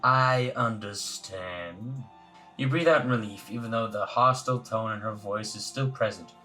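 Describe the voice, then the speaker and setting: A man's voice: on the low side, steady tone, fairly quick, sharp tone, poor audio. A man hosting a let's play, reading visual novel narration aloud in a casual way. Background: faint music.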